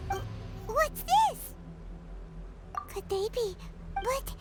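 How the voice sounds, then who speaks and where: A very high, childlike fairy companion for a video game. The squeaky voice is excitable and swings animatedly up and down, with loud music playing underneath. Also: female voice, very slow, full character voice. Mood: curious, startled. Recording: music behind the voice.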